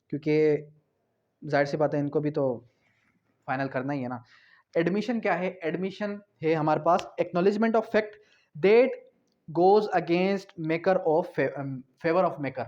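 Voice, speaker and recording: High-voiced man lecturing, dull audio. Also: darkish tone. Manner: explanatory, checks in and repeats key points; switches between Urdu and English terms. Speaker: law teacher. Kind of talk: informal exam-prep lecture.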